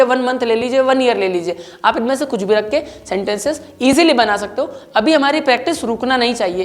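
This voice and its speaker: A woman with an Indian accent teaches spoken English as a grammar lesson, drilling repeated example sentences. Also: a little high.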